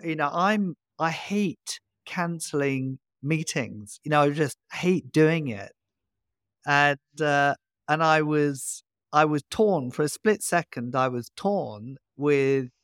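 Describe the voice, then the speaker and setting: An older British man hosting a podcast, speaking in a halting, friendly way with many repeated words and chuckles. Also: a little high, fairly bright tone, dry recording, clean studio sound. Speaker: podcast host. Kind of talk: conversational interview.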